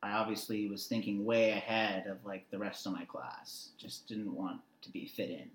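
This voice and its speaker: A man in his twenties telling stories casually as a guest, animated and repeating himself for emphasis. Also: on the low side, normal speed. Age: 20s. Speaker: interview guest. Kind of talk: casual conversational storytelling. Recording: echoey room sound.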